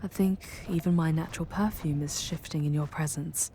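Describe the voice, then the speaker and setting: A woman playing a charming, proud yet tender female demon guide in an ASMR fantasy roleplay, measured and intimate, often dropping to breathy whispers. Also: sits low, fairly even intonation, mellow, slight background noise. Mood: guarded, gentle.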